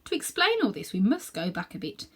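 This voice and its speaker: A woman storyteller reading aloud to children with a mid-pitched voice. Her delivery is expressive and dramatic, able to soften into hushed tones. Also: a little fast, husky. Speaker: storybook reader.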